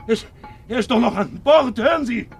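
An actor playing a desperate, domineering ship's captain in a 1950s German radio drama, agitated, barking orders and stammering repetitions. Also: male voice, very high voice, expressive, normal speed, gravelly, faint music behind, exaggerated voice. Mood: shocked, urgent. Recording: noisy 1950s radio recording.

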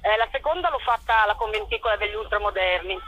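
A woman answering interview questions as a playwright and performer, in a colloquial, animated storytelling way. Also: mid-range voice, fast, no room sound, low-quality recording.

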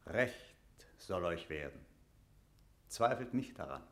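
A man's voice: low-pitched, big pitch swings, slow, fairly bright tone, roomy sound, heavily acted. An actor playing a stern, suspicious middle-aged English knight who guards a captive queen, with solemn, declamatory diction in a classical German verse radio drama. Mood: curt.

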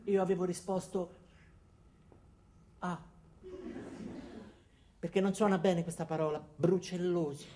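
An actor playing an anxious, paranoid ordinary man, telling his story in a wry, deadpan theatrical monologue. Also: high voice, unhurried, pauses often, slight background noise. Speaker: actor performing a comic monologue. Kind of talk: theatrical first-person comic storytelling.

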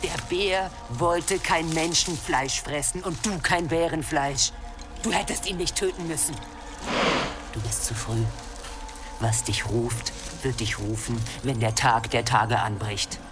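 An actress playing a stern old seer in a German audio drama, speaking in solemn, repetitive incantations. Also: female voice, rumbling low, measured pace, over loud music.

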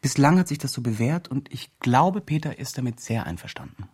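A man's voice: medium pitch, animated, brisk, darkish tone, soft background music, lightly acted. A man playing an earnest, emphatic promoter who presents a homeless man's online fundraising campaign in a radio drama. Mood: earnest, self-justifying. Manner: earnest, emphatic, self-justifying. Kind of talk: scripted radio drama, mock video-blog narration.

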